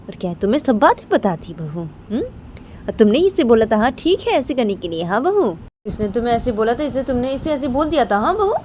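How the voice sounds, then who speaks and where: A stern older mother-in-law acted by a woman storyteller scolds in a hectoring, repetitive way, snapping short questions between phrases. Her pitch is mid-range, with faint vehicle noise behind. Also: fairly quick, close to an everyday voice. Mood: angry, accusing. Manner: hectoring, repetitive, punctuated by sharp questioning tags.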